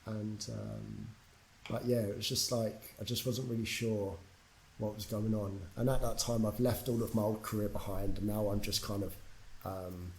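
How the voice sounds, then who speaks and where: A man's voice: on the low side, flat delivery, normal speed, creaky, a little mellow, a little hiss or hum, poor audio. A young man from England, a podcast guest telling his story in a candid, casual way, swearing freely and using lots of fillers. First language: English.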